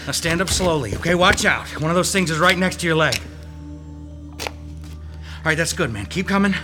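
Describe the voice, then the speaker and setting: An actor playing a calm, tough police detective who speaks in a steady, commanding way, in an old radio drama. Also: male voice, a little high, moderate pace, natural voice. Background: music.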